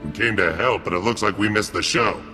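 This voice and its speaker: An easygoing soldier in a game cutscene, casual but dutiful. His lowish voice rings in a long hall reverb, with music behind. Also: brisk, pushed and bright. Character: easygoing, dutiful male soldier leading a rescue squad. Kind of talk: video game cutscene acting.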